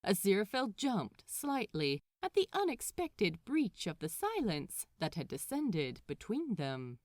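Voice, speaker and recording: Animated, unhurried woman storyteller, dry sound. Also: mid-range voice, dry recording. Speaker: fan fiction podfic narrator. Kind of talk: expressive scripted story narration.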